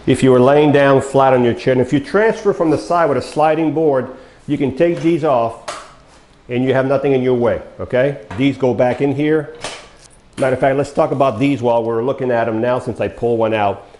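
An older man walks viewers through a product demo in a plain, unhurried way, calling them folks as he goes. His voice is mellow and dark, worn thin from a long day of talking. The big bare room gives it a noticeable echo. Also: medium pitch, slight background noise. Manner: folksy, addresses viewers as folks, explains step by step. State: losing his voice, coughing. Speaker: mobility dealer demonstrating a wheelchair. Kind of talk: product demonstration talk. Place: large bare showroom studio, indoors. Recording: echoey room.

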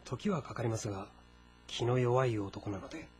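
An actor playing a calm, deferential adviser to a warlord in a historical game drama, speaking formally and deliberately. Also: male voice, medium pitch, lightly acted. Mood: cautious, counselling. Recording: music behind the voice. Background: background music.

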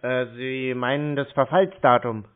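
A man from Germany stringing along a scam caller, slow and feigning confusion as he fumbles for details. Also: mid-range voice, steady tone. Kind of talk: playing dumb on a prank call. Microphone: phone. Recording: tinny phone line.

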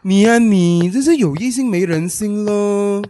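A man's voice: high voice, unhurried, warm tone, dry recording. A young man plays a camp, fiercely loyal male best friend in a radio drama. He is sassy and theatrical, with an effeminate delivery in a dry recording. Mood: teasing, playful.

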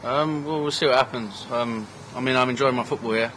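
A man's voice: mid-range voice, a little fast, background noise. A young man, a footballer answering hesitantly with many ums in an outdoor post-match interview. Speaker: striker.

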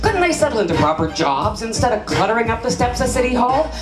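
Middle-aged Canadian woman, spoken word poet, rhythmic and dramatic, higher voice, live echoey room. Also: sing-song, quick pace, over loud music. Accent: Canadian English. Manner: rhythmic, theatrical delivery with big gestures. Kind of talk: performed spoken word poetry. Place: small stage at a festival venue. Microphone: handheld microphone.

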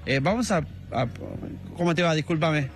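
A man's voice: a little high, expressive, slight background noise. A man in his thirties with an Argentine accent, reporting live from a street with a handheld mic and lots of fillers. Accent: Argentine Spanish. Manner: many "eh" fillers, measured. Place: outdoors on a residential street.

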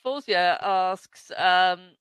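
A woman hosting a video call interview, reading out audience questions slowly between brief acknowledgements. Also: lowish voice, a bit bright, clean tone, dry recording. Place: home room.

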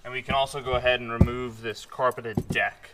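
Young man, casual how-to over clear noise. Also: medium pitch, steady tone, brisk. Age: young adult. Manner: informal, hands-on explaining. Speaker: host of an off-road install channel. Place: home garage, working around a vehicle. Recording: noisy garage action-camera audio with clicks.

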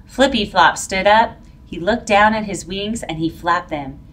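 A middle-aged woman reading a children's picture book aloud in an expressive, dramatic, drawn-out way. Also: medium pitch, a little hiss or hum. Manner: animated, drawn-out, dramatic delivery for young children. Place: plain-walled indoor room. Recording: voice picked up from a distance.

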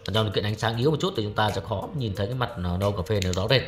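A young man reviewing a watch in brisk salesman patter, addressing viewers as friends. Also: lowish voice, faint music behind. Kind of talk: product review with close-up narration.

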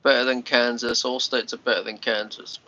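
A Scottish man in his thirties, lying on a sofa and rambling to his livestream viewers, often restarting his words and sounding a little congested. His voice is bright and edged. The phone recording sounds dull and lo-fi. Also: mid-range voice, flat delivery, normal speed. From Scotland. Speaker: livestream host. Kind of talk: casual live chat with viewers. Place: small bedroom, lying on a sofa. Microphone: phone held at arm's length.

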